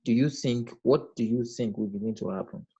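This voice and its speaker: Man, webinar moderator, mid pitch, formal and courteous; dull, lo-fi call audio. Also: normal speed, mellow, husky. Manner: polite, formal, addresses guests as sir.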